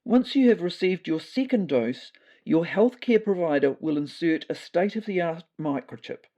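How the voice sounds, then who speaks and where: A woman voices an earnest male cat official in a comic audio story. The voice is mellow and soft-edged with a New Zealand accent, formal and slightly tentative in delivery. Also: on the low side, lively intonation, normal speed.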